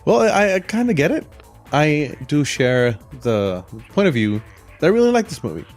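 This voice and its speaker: Man, moderately high voice, informal podcast co-host, conversational, faint music behind. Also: very animated, moderate pace. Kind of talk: casual chat.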